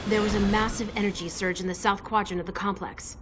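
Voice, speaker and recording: A woman playing a sensible, brisk assistant in a video game, businesslike and insistent. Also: medium pitch, fairly even intonation, a bit bright, a little hiss or hum, natural voice. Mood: concerned. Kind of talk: conversational video game acting. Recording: echoey, faint music behind.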